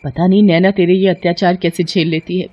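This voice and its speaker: A woman's voice: lowish voice, steady tone, fairly quick, dull audio, lightly acted. A woman voicing a vain, haughty, selfish young daughter-in-law in a cartoon melodrama, complaining dramatically. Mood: irritated, cruel.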